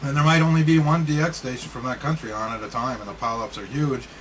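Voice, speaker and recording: A man chatting casually as an amateur radio operator, talking in a halting, matter-of-fact way. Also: medium pitch. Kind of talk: casual chat over ham radio. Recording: clearly noisy ham radio recording.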